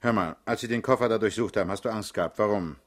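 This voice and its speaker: An actor playing a commanding, coolly mocking party chief in a German radio play, brusque and needling. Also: male voice, on the low side, fast talker, lightly acted. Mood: stern, probing.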